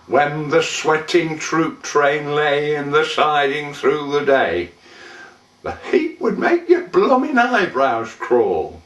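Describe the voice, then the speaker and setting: Slow, echoey man performing a rough old-time soldier. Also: on the high side, sing-song, heavily acted. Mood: reminiscing. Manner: theatrical, deliberate, with shouted calls and drawn-out phrases. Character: rough British colonial soldier recalling war, gruff and sentimental. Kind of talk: dramatic poetry recitation, monologue. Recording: echoey room, distant microphone.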